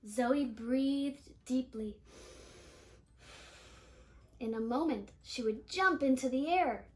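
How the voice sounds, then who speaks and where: A woman reading a children's picture book aloud in an expressive storytelling manner, stretching key words. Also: high-pitched, takes it slowly. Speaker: storybook reader.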